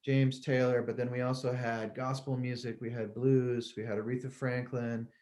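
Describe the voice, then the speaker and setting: A man's voice: medium pitch, level tone, moderate pace, darkish tone. A middle-aged man with a mid-range voice, talking casually as a guest musician. He rambles in a loose, easygoing way and laughs easily between thoughts. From Connecticut, US. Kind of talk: casual interview chat.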